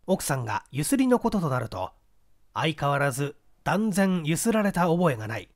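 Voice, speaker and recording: Lively high-voiced man reading fiction. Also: normal speed. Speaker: audiobook reader, stage actor. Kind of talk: scripted literary narration of a mystery story.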